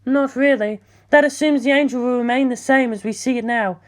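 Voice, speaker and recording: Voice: mid-range voice, brisk, pitched above the performer's own voice, close to an everyday voice. A narrator voicing a weary woman scientist in clipped, precise speech for an audiobook. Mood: analytical.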